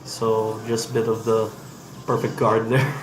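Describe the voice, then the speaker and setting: A man's voice: fairly low pitch, completely flat delivery, unhurried, reverberant, background noise. Man with a cold narrating gameplay. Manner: hesitant, many ums and restarts. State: has a cold. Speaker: game developer demoing gameplay. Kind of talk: casual gameplay commentary. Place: office.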